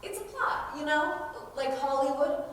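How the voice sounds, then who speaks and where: Girl as high-voiced scornful stage narrator, echoey. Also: lively intonation, measured pace. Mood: wry scorn. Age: teenager. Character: wry, indignant storyteller addressing the audience. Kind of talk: school stage play acting. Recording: distant, echoey stage recording with noise.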